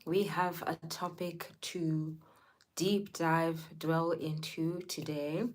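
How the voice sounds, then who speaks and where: A young woman from Zimbabwe hosting a casual livestream, chatting with viewers, greeting them by name and laughing often. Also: low-pitched. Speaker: livestream host.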